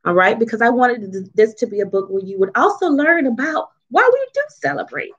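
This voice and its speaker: A woman reads a picture book aloud to children as its author, in an expressive, teacherly way, pausing to explain and ask questions. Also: fairly high pitch, breathy edge, highs cut off. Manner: teacherly, asks questions and checks in often.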